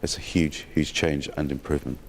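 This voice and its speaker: Low-voiced man, croaky welcome speech. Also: little pitch movement, brisk. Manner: dry self-mocking humour, frequent ums and restarts. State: slightly croaky from a cold. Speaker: opening speaker at a health sector learning session. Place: conference hall. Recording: long hall reverb.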